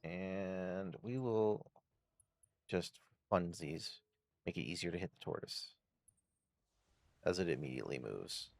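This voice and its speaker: A man's voice: low voice, slow, gravelly, pauses often, darkish tone, dry recording, clean studio sound. A man giving casual video game commentary as a streamer, thinking aloud through tactics in short bursts. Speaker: gameplay streamer.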